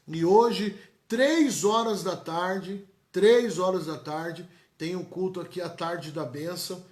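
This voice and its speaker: Echoey-voiced São pastor. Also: male voice, high-pitched, darkish tone. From São Paulo, Brazil. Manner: emphatic and repetitive, folksy asides to viewers. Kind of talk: live-streamed church announcements and blessings. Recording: echoey church room.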